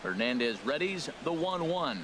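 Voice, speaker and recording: A man playing a play-by-play baseball announcer in a video game, with a measured, polished delivery. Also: fairly high pitch, sing-song. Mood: steady, attentive. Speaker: play-by-play sports commentator. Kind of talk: scripted baseball commentary. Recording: loud stadium crowd noise over voice. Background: baseball stadium crowd in a video game.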